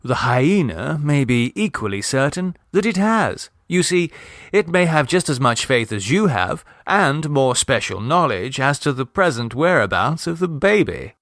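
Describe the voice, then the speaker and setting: Man playing a languid, flippant young gentleman. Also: fairly high pitch, very animated, a little slow, dry recording, clean studio sound, pitched above the performer's own voice, full character voice. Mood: drily argumentative. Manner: lazy, droll, unconcerned.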